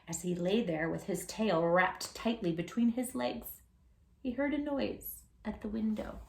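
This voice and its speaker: A woman reading a picture book aloud for children in an animated, playful way, talking directly to young listeners. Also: medium pitch, measured pace, creaky, roomy sound, poor audio. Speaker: children's storytime host.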